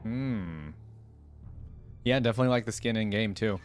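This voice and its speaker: A woman's voice: very deep voice, moderate pace, darkish tone, soft background music. A woman hosting a reaction video, talking in a casual, musing, conversational way. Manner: musing, conversational, often echoes lines back.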